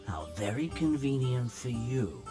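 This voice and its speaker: An actor playing a suspicious, officious medieval official in a video game, speaking in a dry, measured, sceptical way. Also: male voice, mid-range voice, big pitch swings, over music. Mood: wary, grudging. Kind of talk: video game cutscene acting.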